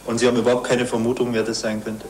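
Quick-talking male interviewer in echoey room. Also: medium pitch, monotone, a little mellow. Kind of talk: reporter interview questions. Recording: echoey, slightly noisy film soundtrack.

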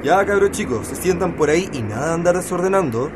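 A man's voice: fairly high pitch, lively intonation, quick pace, noisy background, close to an everyday voice. A man playing a laid-back, slightly bossy Chilean university student in an educational radio drama, talking in slang. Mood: bossy, teasing.

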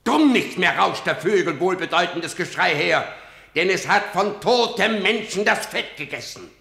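An actor playing an old, grave, defiant seer in a radio verse drama, declaiming deliberately and probing as he goes. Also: sits high, expressive, vocal fry, bright, forceful tone, exaggerated voice. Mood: solemn warning. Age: older. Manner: declamatory, deliberate, swelling and dropping. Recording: roomy, reverberant radio play recording.

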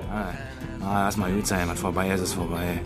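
An embittered Berlin working man who drinks hard acted by a man, in a radio play. He grumbles bluntly in a Berlin accent, proud and stubborn. Music plays clearly behind him. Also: sits low, flat delivery, fairly quick, natural voice. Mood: brooding, resentful. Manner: repetitive, brooding, blunt grumbling. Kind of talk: radio drama acting.

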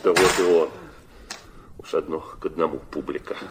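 An actor playing an agitated, querulous prince in a Russian classic audio drama, muttering and breaking into fretful outbursts. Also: male voice, very deep voice, steady tone, moderate pace, heavy background noise. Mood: peevish, flustered.

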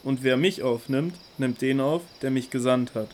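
A man's voice: medium pitch, moderate pace, a little mellow, slight background noise, natural voice. A man playing a solemn, earnest teacher. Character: calm authoritative male teacher Jesus. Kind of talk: radio drama acting.

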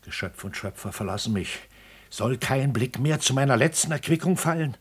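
Ein Schauspieler spielt in einem klassischen deutschen Hörspiel einen zerschmetterten älteren Vater und Staatsmann, angespannt und feierlich theatralisch deklamierend. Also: male voice, mid-range voice, animated, normal speed, husky. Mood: broken, remorseful. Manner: grave theatrical declamation. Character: shattered older father, powerful statesman, horrified, accusing. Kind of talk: German classical radio drama.

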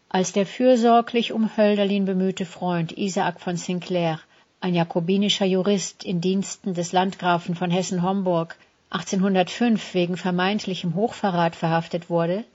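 A woman reading a scripted literary essay as narrator in a measured, formal delivery. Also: lowish voice, darkish tone, highs cut off.

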